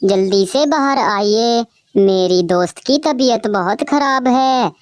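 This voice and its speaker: A woman doing a fretful mother bird, high smooth voice, slow, dry. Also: no room sound. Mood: calling. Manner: emotional, wailing, scolding. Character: female bird, caring foster mother. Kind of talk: cartoon voice acting.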